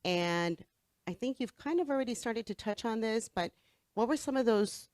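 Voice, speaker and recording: A woman's voice: on the high side, lively intonation, moderate pace, darkish tone, dry recording, studio quality. A woman moderating a panel discussion, asking long, careful questions. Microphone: handheld microphone.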